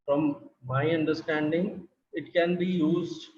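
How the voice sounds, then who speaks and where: Indian man presenting a technical workshop; slightly high voice, roomy and dull call audio. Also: steady tone, slow pace, a little mellow, a little hiss or hum. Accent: Indian English. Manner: explanatory, with frequent fillers and restarts.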